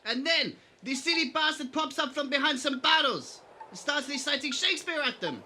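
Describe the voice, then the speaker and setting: A man's voice: extremely high pitch, lively intonation, moderate pace, bright, forceful tone, a little hiss or hum, exaggerated voice. A man playing a jovial, boastful baron in an audio drama, effusive and bantering, with foreign-style broken phrasing. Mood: jovial, storytelling. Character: jovial, boastful male baron running a beach paradise.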